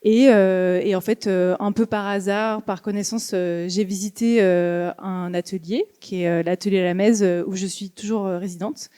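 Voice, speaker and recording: A young woman from Lyon, an artist speaking on a panel in a hesitant, halting way. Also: medium pitch, steady tone, warm tone, clean tone. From Lyon, France. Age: young adult. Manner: hesitant, many fillers and restarts. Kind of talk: panel talk. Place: museum auditorium.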